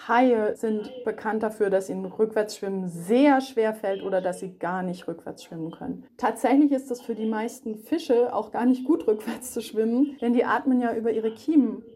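Mellow, higher-voiced woman explaining. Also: moderate pace, few pauses. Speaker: animal researcher and expert. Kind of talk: conversational explanation for children.

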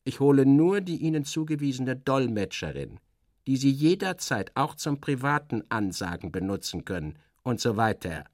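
A man's voice: mid-range voice, very animated, moderate pace, no echo, studio quality, pitched above the performer's own voice, close to an everyday voice. A man voicing a curt, officious Soviet official who gives emphatic orders in an audiobook satire. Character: brisk, commanding male Soviet official guiding a foreign visitor.

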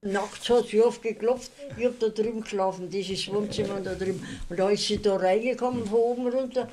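An older woman recalls the past as an interviewed eyewitness, in a mid-pitched voice. Her telling is casual and halting. The recording has clear background noise and clicks. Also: fairly even intonation. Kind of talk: casual spoken recollection.

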